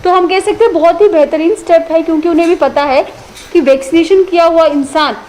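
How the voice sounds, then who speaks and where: A woman hosting a TV call-in show, brisk and affirming as she moderates callers and the expert. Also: very high voice, steady tone, a little mellow.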